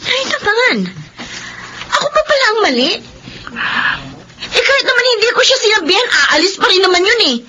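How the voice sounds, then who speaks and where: Noisy radio drama audio with a grown elder sister whose voice is extremely high. She is resentful and scolding, her indignant lines rising and falling animatedly. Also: sing-song, a little fast, pauses often. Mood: hurt, indignant. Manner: reproachful, indignant, emotionally heightened. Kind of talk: Filipino radio drama acting.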